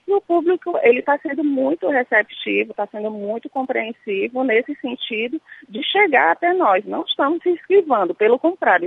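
A woman's voice: fairly high pitch, slightly rough. A Brazilian Portuguese-speaking woman, a nurse, answering interview questions with many hesitations and fillers. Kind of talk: on-site radio interview. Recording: tinny phone-line sound.

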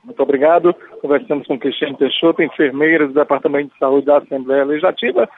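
A man's voice: fairly high pitch, quick pace. Male field reporter on a phone line. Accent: Brazilian Portuguese. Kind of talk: live radio field report. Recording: tinny phone-line sound.

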